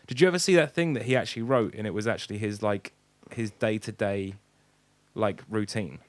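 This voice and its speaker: A man's voice: fairly low pitch, brisk, dry recording. A British man co-hosting a podcast in casual, rambling, blokey banter with plenty of swearing. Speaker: podcast co-host. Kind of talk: casual banter, informal chat.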